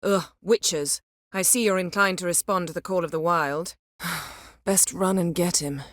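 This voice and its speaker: An actress playing a proud, sharp-tongued, guarded sorceress in a video game, speaking in a cool, clipped, dryly sardonic way. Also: female voice, on the low side, fairly even intonation, measured pace, dry recording, studio quality. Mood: dry, weary. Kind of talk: scripted game dialogue acting.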